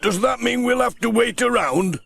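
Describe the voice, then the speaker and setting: A flustered farmer in a children's storybook. The voice is high and gravelly, slow and very animated as he grumbles, with slight hiss on the old recording. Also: heavily acted. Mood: exasperated. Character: flustered male farmer on a tractor, grumbling, stuck. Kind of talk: children's storybook audiobook character voice.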